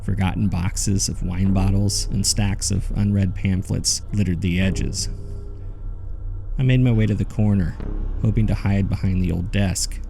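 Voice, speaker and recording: An actor playing a haunted man who recounts his horror ordeal in measured, grave first-person narration. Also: deep voice, steady tone, gravelly, mellow, soft background music. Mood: tense, grave.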